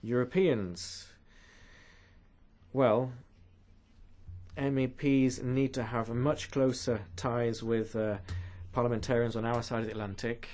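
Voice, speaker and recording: A man from Canada speaking at a political conference with an earnest, urgent tone. His voice sits at an ordinary middle pitch. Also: steady tone, takes it slowly, long pauses, darkish tone.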